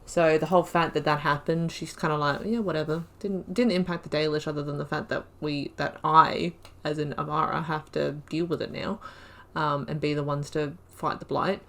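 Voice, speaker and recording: A woman's voice: low-pitched, fairly even intonation, moderate pace, few pauses, a bit bright. A woman giving chatty let's-play commentary, thinking aloud with many fillers. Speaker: gaming YouTuber.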